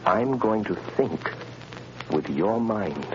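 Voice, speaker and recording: A man playing a mysterious invisible crime fighter, speaking in measured, authoritative commands in 1930s radio drama style. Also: on the low side, lively intonation, dull audio. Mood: commanding. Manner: measured, hypnotic, authoritative instructions. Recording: scratchy, hissy 1930s radio broadcast.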